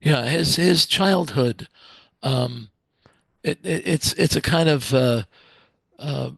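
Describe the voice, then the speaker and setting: Male podcast host, gravelly and husky, hesitant questions, dry sound. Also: a little high, slow pace, no echo. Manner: hesitant, repeats words. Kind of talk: podcast interview.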